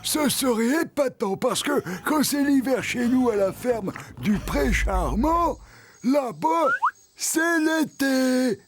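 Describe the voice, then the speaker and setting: A man's voice: very high-pitched, lively intonation, slow, full character voice. A man voicing a dreamy, chatty storyteller in a French children's cartoon, drawing out his enthusiastic tales. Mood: dreamy, excited. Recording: loud music behind.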